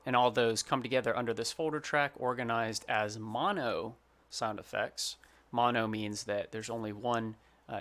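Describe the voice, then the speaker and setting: Bright-voiced man explaining, fairly low pitch. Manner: explanatory, plain-spoken. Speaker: sound designer presenting his project. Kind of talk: informal tutorial explanation.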